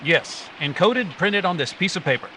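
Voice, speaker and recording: A brisk, take-charge adventure hero, played by a man in old-time radio drama. The voice sits a little high and businesslike, with clear noise behind it on a live recording. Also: lively intonation. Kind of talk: 1940s radio acting.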